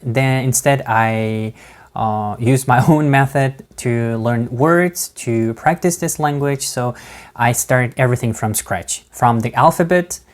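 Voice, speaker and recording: Slow halting man, non-native English accent. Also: mid-range voice. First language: not English. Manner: halting, many fillers and restarts, laughs between words. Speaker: vlogger. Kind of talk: casual talk to camera.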